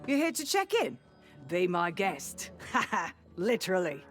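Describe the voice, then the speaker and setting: A breezy inn hostess, lowish voice, animated pitch, joking, welcoming, game dialogue. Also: female voice, unhurried, faint music behind. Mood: cheerful. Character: welcoming, playful innkeeper greeting guests. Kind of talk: video game character dialogue.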